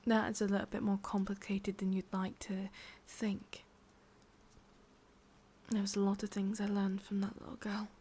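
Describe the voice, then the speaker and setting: A woman with an English accent voicing an ancient, possessive, wistful witch in a slow, intimate, breathy ASMR whisper. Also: mid-range voice, monotone, warm tone, slight background noise, natural voice. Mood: confiding. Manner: slow, intimate, musing, with lingering pauses. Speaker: ASMR roleplay performer. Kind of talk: whispered ASMR roleplay monologue.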